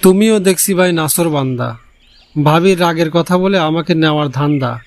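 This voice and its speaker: A man's voice: on the high side, brisk, close to an everyday voice. A man voicing a polite, reluctant guest in a cartoon story, speaking in a demurring way. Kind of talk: Bengali cartoon fairy-tale voice acting.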